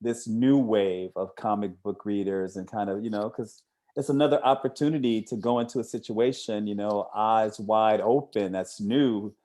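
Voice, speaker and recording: A male host with a soft-edged, mellow and fairly low voice. He interviews casually, in a conversational style that wanders into his own thoughts. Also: fairly even intonation, normal speed. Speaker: interviewer, comic creator.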